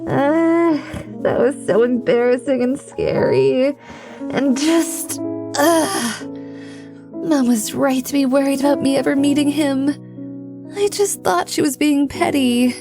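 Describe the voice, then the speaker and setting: A woman acts out an earnest young fire genasi in a fantasy audio drama. She speaks high and slowly, hesitant and full of feeling, with music playing clearly behind her. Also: expressive, heavily acted. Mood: embarrassed. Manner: hesitant, emotional, groaning.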